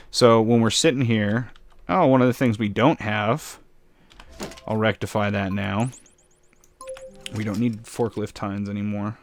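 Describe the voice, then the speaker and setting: A man casually commenting while building in a game, with a slightly raspy voice, rambling and poking fun at himself. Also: lowish voice, dry recording. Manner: rambling, self-mocking, chuckles often. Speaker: gameplay commentator.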